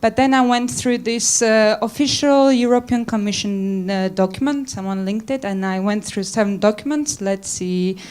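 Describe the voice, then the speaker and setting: A woman's voice: medium pitch, darkish tone, smooth. A woman reading out notes to a conference panel, careful and amused as she works through foreign words. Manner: slow, careful, amused by pronunciations. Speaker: rapporteur.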